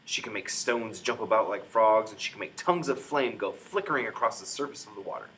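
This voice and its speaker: A man's voice: on the low side, fairly quick, a bit bright, rough recording. A man reading a children's book aloud in a dramatic, emphatic storytelling manner. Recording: clear background noise, room ambience.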